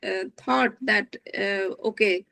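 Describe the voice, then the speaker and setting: A woman giving an academic lecture as a literary translator, speaking in a measured, thoughtful way with frequent restarts and hesitations. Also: medium pitch, creaky, sharp tone, no echo. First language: not Dutch.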